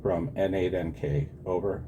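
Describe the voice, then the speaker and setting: A man's voice: sits low, fairly even intonation, normal speed, lo-fi sound, background noise. An American man in his sixties talking casually as an amateur radio operator, earnest and deferential. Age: 60s. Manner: earnest and deferential, often saying sir. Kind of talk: casual two-way radio conversation.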